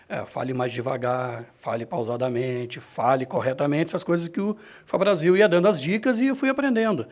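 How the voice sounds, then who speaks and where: A man answering interview questions about his own experience, in a halting, self-correcting way. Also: on the high side. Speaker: radio reporter and presenter being interviewed. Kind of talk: reflective interview answer, personal anecdotes.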